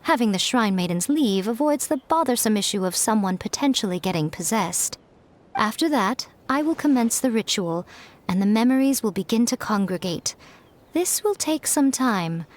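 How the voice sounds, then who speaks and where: A woman playing a calm, knowing shrine priestess in an anime game, speaking in a measured, gently teasing and composed way. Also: a little high, natural voice. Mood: calm instruction. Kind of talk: scripted anime game voice acting. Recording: music behind the voice.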